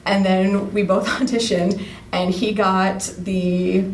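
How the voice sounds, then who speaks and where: A young American woman chatting casually in an interview, animated and self-deprecating, often acting out remembered bits of conversation. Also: mid-range voice, slow. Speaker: radio play cast member being interviewed. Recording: echoey room, distant mic, faint noise.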